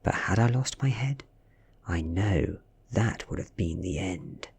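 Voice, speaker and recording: A man with a British accent softly reads a story in ASMR style, breathy and often slipping into a whisper, with measured, dramatic delivery. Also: low voice, animated, slight background noise. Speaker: ASMR reader. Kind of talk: soft-spoken ASMR audiobook reading.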